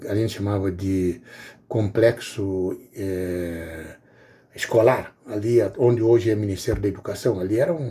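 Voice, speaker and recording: A man's voice: mid-range voice, warm tone. Earnest man with a Guinea-Bissau accent. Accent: Guinea-Bissau Portuguese. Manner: earnest, repetitive pleading with many hesitations. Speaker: video blogger. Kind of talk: informal monologue to viewers.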